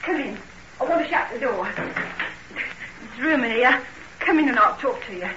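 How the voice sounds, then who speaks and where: An actress playing a guarded, bitter young working-class housekeeper with a brusque, slangy in old radio drama style. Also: female voice, a little high, lively intonation, normal speed, lightly acted. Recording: muffled noisy old radio recording.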